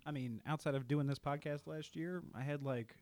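A man in his mid-thirties co-hosting a podcast in casual chat, easygoing and quick to laugh. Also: fairly high pitch, fairly even intonation, a little mellow, no room sound. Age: 30s. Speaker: podcast co-host.

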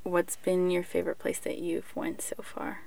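A woman's voice: on the low side, monotone, normal speed, creaky, dark tone, in a whisper. A woman asking questions casually as an interviewer. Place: inside a camper van.

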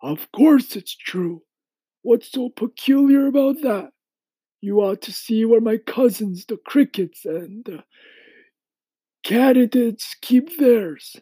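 A high-pitched, elderly grasshopper gentleman. He is kindly and proud, stretching his words slowly and emphatically as he lectures, with big swoops and a husky edge. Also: dry recording, exaggerated voice, rough recording. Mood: gentle teasing. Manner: leisurely, emphatic, old-fashioned gentlemanly phrasing.

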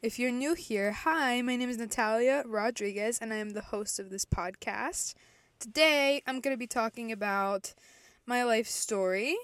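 A woman's voice: a little high, normal speed, a bit bright, dry recording. Chatty young woman podcast host. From El Salvador. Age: 20s. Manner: chatty, self-correcting, candid. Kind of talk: casual faith podcast monologue.

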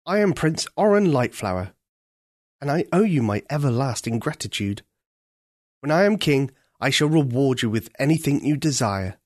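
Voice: medium pitch, animated, moderate pace, a little mellow, no echo, clean studio sound, lightly acted. A storyteller voicing a young imprisoned prince who speaks formally and earnestly. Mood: grateful.

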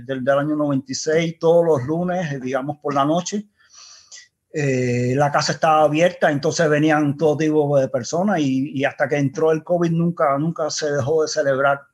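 A man with a Cuban accent, a guest in an interview, recalling the past in a reflective, unhurried way. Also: fairly high pitch, a little mellow. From Cuba. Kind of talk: conversational storytelling.

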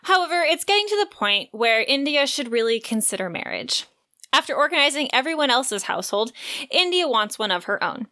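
Bright-voiced woman co-hosting a book podcast. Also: sits high, big pitch swings, a little fast. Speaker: podcast co-host. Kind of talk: book discussion, part read synopsis.

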